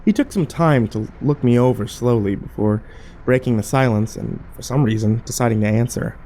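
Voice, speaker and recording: A wary, troubled survivor tells his story in first person, played by a man for a horror audio drama. The voice is middle-pitched, dark and soft-edged. He talks colloquially and broods as he goes. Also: moderate pace, slight background noise, lightly acted. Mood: dazed, subdued.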